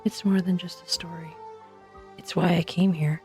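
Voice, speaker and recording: A weary researcher played by a woman speaks gravely and deliberately in a low voice. She sounds sombre yet resolute, as in a game drama, with faint music underneath. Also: fairly even intonation, dark tone, lightly acted. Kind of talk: English fan dub of an anime game.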